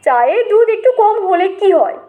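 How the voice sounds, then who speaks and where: Oily, fussing elder brother for a comic audio story, stingy, glib, pitched very high; a woman performs him. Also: sing-song, a little slow, narrow, tinny audio, exaggerated voice. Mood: fawning. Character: Hiru's elder brother, pushy fawning stingy man.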